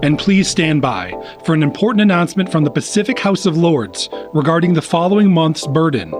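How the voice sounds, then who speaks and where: Over prominent music, an actor plays a polished radio news announcer. His voice sits a little high for a man. He reads in the official style of an hourly bulletin in a radio drama. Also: lively intonation, a little fast, lightly acted. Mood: brisk, official.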